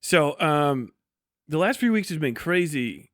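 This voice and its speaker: Profane male livestreamer, higher-pitched and dry. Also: very animated, no room sound. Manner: profane, scornful, blunt; halting, digressive delivery with false starts. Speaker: livestreamer, commentary creator. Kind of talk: casual livestream rambling commentary.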